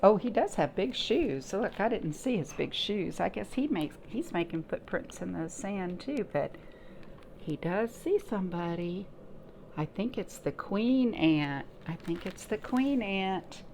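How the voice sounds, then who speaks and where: A woman reading and chatting to young children as a storytime host, in an expressive sing-song way with lots of simple questions. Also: mid-range voice, unhurried. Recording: noticeable background noise.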